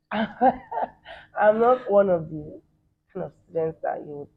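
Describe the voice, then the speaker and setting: A Nigerian man in the entertainment field giving quick, emphatic opinions in a casual interview. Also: high voice, lively intonation, gravelly, husky. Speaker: guest, entertainer. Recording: thin phone-like sound.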